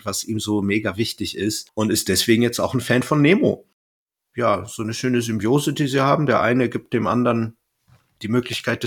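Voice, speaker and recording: A man with a fairly low voice talks as a podcast co-host. He retells a story in a loose, colloquial way, with jokey turns of phrase, as part of a casual chat. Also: a bit bright, slightly rough.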